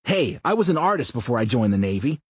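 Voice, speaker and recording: A man voicing a crude, loud, foul-mouthed man who jokes and mocks in an audiobook. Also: mid-range voice, very animated, fast talker, husky, dry recording, muffled recording, pitched above the performer's own voice. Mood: brash. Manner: brash, swearing, mocking.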